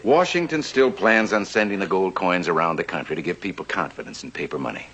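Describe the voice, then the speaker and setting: A determined American banker in an old television western. His voice is low and forceful, with widely swinging intonation. He comes across as an earnest, persuasive schemer. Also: a little fast, lo-fi sound, slight background noise. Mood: grave, resolute. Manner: earnest, persuasive, slyly flattering. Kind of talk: vintage television western acting.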